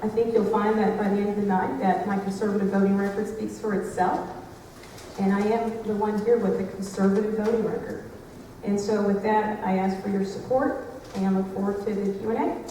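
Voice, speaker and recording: A woman state legislator giving a brisk, confident campaign speech at a candidate forum. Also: mid-range voice, steady tone, mellow, slight background noise. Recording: echoey hall, mic far off.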